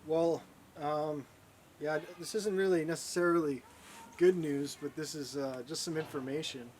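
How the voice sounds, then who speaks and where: Easygoing man, slightly high voice. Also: steady tone, moderate pace, a little mellow, slight background noise. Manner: laid-back, sharing trivia. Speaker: podcast co-host. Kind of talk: casual chat.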